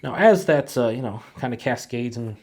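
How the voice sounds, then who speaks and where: A man's voice: medium pitch, expressive, normal speed, a little mellow. Stuffy-nosed man casually reviewing a beer. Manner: laid-back, rambling with many fillers. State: stuffed up from a head cold. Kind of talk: casual solo review to camera.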